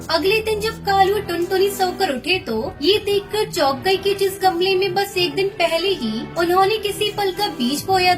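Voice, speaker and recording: A woman narrating a scripted moral story for children. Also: very high-pitched. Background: music.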